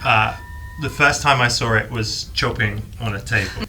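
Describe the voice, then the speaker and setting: Animated Southern English man. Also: mid-range voice, normal speed, slight background noise. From south of England. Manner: animated storytelling, rambling asides. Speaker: guest, videographer. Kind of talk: casual panel chat.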